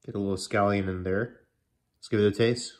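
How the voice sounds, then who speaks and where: A man's voice: on the low side, steady tone, brisk, darkish tone. Male food vlogger, congested from a cold, chatty and self-correcting, filmed at home in the kitchen. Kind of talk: casual cooking vlog.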